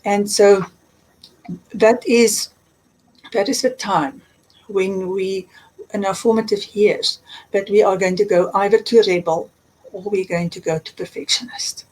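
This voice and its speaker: A woman's voice: mid-range voice, fairly even intonation, measured pace, long pauses, a little mellow, poor audio. A woman with a South African accent, a coach giving earnest advice in an interview. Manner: earnest, advisory, repeats words. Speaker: guest, transformational coach. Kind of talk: interview answers, explaining.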